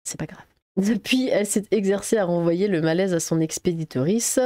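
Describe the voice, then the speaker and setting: A woman's voice: on the low side, moderate pace, dark tone, dry recording, clean studio sound. A woman from Alsace with a French accent, reading an article aloud as an online commentator and breaking in with sarcastic, exasperated asides. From Alsace, France.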